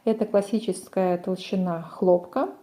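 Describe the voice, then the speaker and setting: Dark, mellow-voiced woman giving craft instruction. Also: on the low side, steady tone, a little slow. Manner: methodical, explanatory. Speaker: crochet tutorial host.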